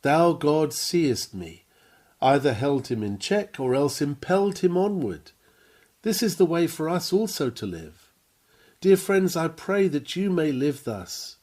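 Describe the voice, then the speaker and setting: A man reading an old sermon aloud from a script, with earnest, measured and formal oratory. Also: a little high, warm tone. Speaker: reader of a historical sermon.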